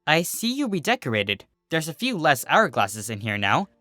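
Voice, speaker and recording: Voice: medium pitch, big pitch swings, normal speed, bright, forceful tone, no room sound, studio quality, faint music behind. A performer voicing a mischievous young female cartoon unicorn, smug, playful and teasing.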